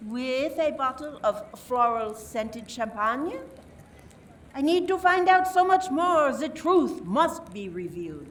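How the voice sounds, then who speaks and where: A theatrical lady police inspector from France, acted by a woman in an amateur radio mystery. She is suspicious and accusatory, speaking with deliberate drama. She is a little far from the mic, with faint noise behind her. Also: fairly high pitch. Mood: stern, dramatic. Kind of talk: amateur radio play acting.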